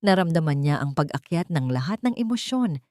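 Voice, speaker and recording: A woman narrating a scripted dramatic story as an audiobook, with expressive phrasing. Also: sits low, brisk, no room sound, clean studio sound.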